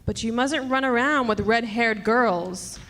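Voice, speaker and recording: A woman's voice: a little high, measured pace, a bit bright. A woman playing a jealous, possessive witch wife in old-time radio drama style, cooing endearments with sweet menace. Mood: sweetly menacing. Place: stage performance in a club hall. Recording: hall reverb, music behind.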